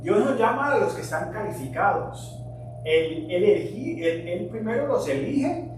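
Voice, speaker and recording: A middle-aged man born in Colombia whose first language is English, preaching a sermon earnestly, sometimes forceful and sometimes quiet. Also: high-pitched, lively intonation, measured pace. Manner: earnest preaching with shifts between emphatic and quiet. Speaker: pastor. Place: church sanctuary. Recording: very echoey, distant mic, hum.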